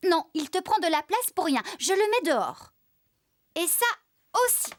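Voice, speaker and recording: A woman voicing a bossy, tidy and caring farmer in a children's cartoon, with a brisk, expressive manner. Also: extremely high pitch. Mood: bossy, exasperated. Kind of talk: French children's cartoon dubbing.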